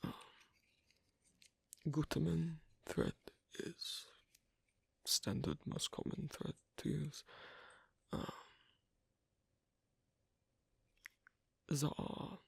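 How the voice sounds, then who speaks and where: An actor voicing an asocial, awkward but patient werewolf in an ASMR roleplay, speaking softly in halting, clipped phrases with a breathy, gravelly voice. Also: medium pitch, expressive, no room sound, full character voice. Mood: awkward, focused. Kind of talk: ASMR roleplay, soft close speech.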